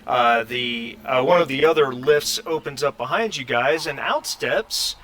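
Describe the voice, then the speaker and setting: A man acting as game master, narrating a tabletop role-playing game in a halting way, searching for words as he describes scenes. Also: mid-range voice, lively intonation, fairly bright tone, slight background noise.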